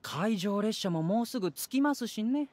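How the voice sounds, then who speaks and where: A man's voice: high-pitched, expressive, moderate pace, darkish tone, no room sound. A man voicing a lazy, sheepish young subordinate in a game, whining politely as he makes excuses. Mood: sheepish protesting. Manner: whiny protesting casual politeness. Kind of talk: Japanese anime game acting.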